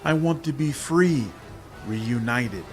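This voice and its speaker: A man voicing a captive, hungry female demon swarm of many bodies in game dialogue, intoning words one by one in a halting manner. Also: medium pitch, a little mellow, faint music behind, close to an everyday voice. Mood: anguished.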